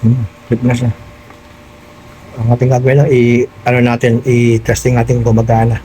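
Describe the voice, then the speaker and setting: A man's voice: mid-range voice, monotone, moderate pace, mellow, slight background noise, rough recording. A Filipino man hosting a casual hands-on tutorial vlog, chatting directly to his viewers. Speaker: vlogger hosting an unboxing and installation.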